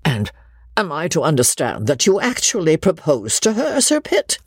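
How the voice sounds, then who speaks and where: A woman's voice: sits low, huge pitch swings, moderate pace, a little mellow, slightly rough, no room sound, clean studio sound, pitched above the performer's own voice, heavily acted. A woman voicing a haughty, scornful old lady in an audiobook, imperious and indignant in manner. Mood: bewildered.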